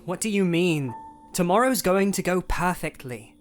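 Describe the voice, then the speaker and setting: A man playing an earnest young pony, lively pitch. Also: normal speed, faint music behind. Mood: defensive. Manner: earnest, defensive. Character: earnest, stubborn young male pony glassblower artist. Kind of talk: audio story character dialogue.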